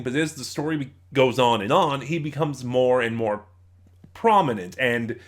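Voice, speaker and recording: A man giving an informal spoken review as a critic, with a halting, emphatic, exasperated delivery. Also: medium pitch, fairly bright tone. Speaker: audio drama reviewer.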